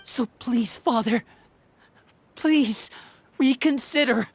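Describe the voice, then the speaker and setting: An earnest young man who cares deeply about his adoptive family. He speaks respectfully, in dramatic video game style. The voice is on the high side. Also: slow pace, breathy edge, dry recording, exaggerated voice. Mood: pleading, earnest.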